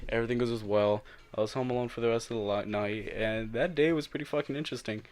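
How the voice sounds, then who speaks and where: A man's voice: mid-range voice, fairly even intonation, quick pace, a little mellow. Crude, joking young man on a podcast. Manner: crude, joking, swears a lot. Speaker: podcast co-host. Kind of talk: casual chat.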